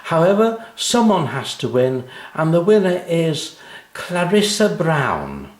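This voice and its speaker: A man voicing a kindly, encouraging female schoolteacher in a children's story, measured and reassuring. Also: on the high side, sing-song, reverberant, voice pushed up.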